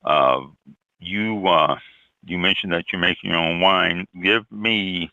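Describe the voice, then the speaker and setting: A man hosting a radio interview, talking haltingly with sudden upward jumps in pitch. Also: bright, forceful tone, no echo. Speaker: radio show host. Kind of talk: interview chat. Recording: dull lo-fi dry sound.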